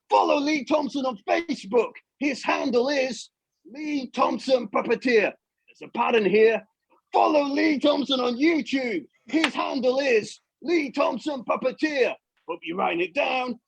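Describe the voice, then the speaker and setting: A man's voice: no room sound, heavily acted, low-quality recording. A man voicing a brash, boastful puppet who plays the ladies' man, with a pushy, emphatic way of talking in a comic interview. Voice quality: high, creaky, raspy. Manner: cocky, pushy, emphatic, repeats himself. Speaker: puppet podcast guest.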